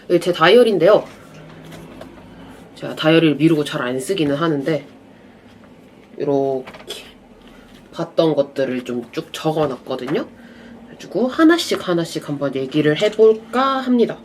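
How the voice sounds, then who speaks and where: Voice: medium pitch, normal speed, pauses often, slight background noise. Stuffy-nosed young vlogger rambling casually. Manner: relaxed, chatty, drifting off into asides. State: slight cold, nasal stuffy voice. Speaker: vlogger reviewing films and dramas. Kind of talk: casual rambling chat to camera.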